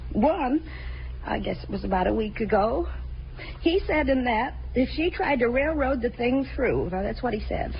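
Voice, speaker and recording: A woman's voice: a little high, expressive, moderate pace, gravelly. An actress playing a chatty, nosy working woman who rambles and confides in a hesitant way, in 1950s radio drama style. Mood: earnest, puzzled. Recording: muffled, hissy old radio recording.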